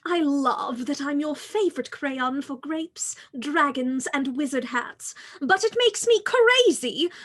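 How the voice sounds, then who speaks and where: A vain storybook crayon, proud and exasperated, played by a woman. The voice is highish and dramatic in its complaint. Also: animated, slow, few pauses, fairly bright tone, full character voice. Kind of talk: readers theater storybook reading.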